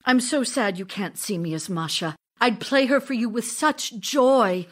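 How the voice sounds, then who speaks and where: A woman playing an ardent, theatrical stage actress in love, speaking emotionally in an audio drama. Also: a little high. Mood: excited, yearning. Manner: emotional, theatrical, deliberate phrasing. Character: Olga Knipper, ardent Russian stage actress and lover. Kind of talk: audio drama acting, letters read as speech.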